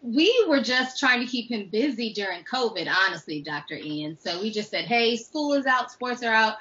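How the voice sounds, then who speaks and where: A woman's voice: on the high side, expressive, moderate pace, bright, forceful tone. Woman guest talking over a video call from home, easygoing, muffled sound. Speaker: guest, a mother. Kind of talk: casual interview answer. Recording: dull video call audio.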